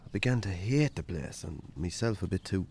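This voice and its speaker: Irish farmer, weary, wry, fairly deep voice, understated radio drama. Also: male voice, expressive, a little fast, a little mellow, slightly rough, heavily acted. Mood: reflective, subdued. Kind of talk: naturalistic radio drama acting.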